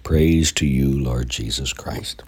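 A man's voice: fairly even intonation, takes it slowly, darkish tone. A man leading scripture readings and a short homily as a priest, sounding stuffy from a sinus infection. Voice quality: gravelly, breathy low voice.

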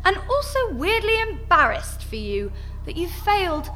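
A woman's voice: extremely high pitch, very animated, moderate pace, pushed and bright, faint music behind, exaggerated voice. A woman voicing a sardonic, unimpressed adventurous heroine in a radio play, talking in a dry, mocking way.

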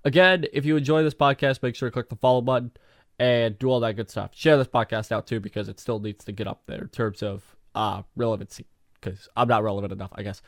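A man's voice: medium pitch, steady tone, fast talker, no echo, clean studio sound. A young man hosting a solo podcast, rambling casually with lots of "like" fillers while losing his voice to a cold. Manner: rambling, heavy use of filler "like". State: losing his voice, getting sick. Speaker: podcast host.

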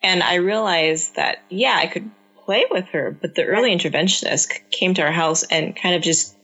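A woman with Cantonese as her first language talks through her experiences as a parent in an earnest, reflective way, often restarting her sentences. Her voice sits low and a little husky. The audio is dull and lo-fi. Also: normal speed, a bit bright. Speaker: parent guest. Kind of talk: conversational interview answers.

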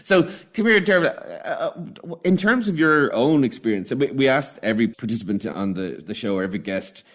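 A man hosting a casual interview, putting his questions in a halting, hesitant way. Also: mid-range voice, lively intonation, a bit bright. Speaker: podcast host.

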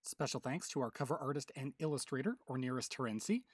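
A man's voice: medium pitch, animated, fast, husky, no echo, clean studio sound. A man hosting an audio drama series, speaking earnestly and emphatically to listeners in a scripted outro. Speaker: host and producer of fan audio dramas.

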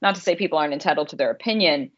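A woman's voice: deep voice, rapid-fire, highs cut off. A woman co-hosting a casual podcast about films, explaining her opinions in an articulate, conversational way. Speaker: podcast co-host and film critic. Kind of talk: casual podcast film discussion.

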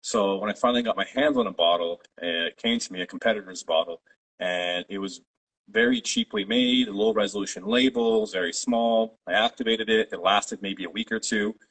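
Low husky-voiced man. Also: moderate pace, poor audio. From Canada. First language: Polish. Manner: steady, detailed storytelling. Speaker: guest, company founder. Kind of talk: interview.

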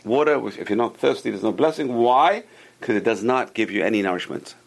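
A man's voice: medium pitch, animated, fairly quick, creaky. A man teaching an informal religious class to a group around a table, explaining step by step, repeating key points and asking the students questions. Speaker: Torah teacher.

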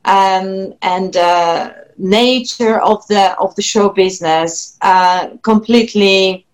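Polish woman musician, talks slow and thoughtful, restarts a lot. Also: mid-range voice. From Poland. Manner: thoughtful, frequent restarts and soft laughs. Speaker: guest singer-songwriter. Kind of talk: reflective interview talk.

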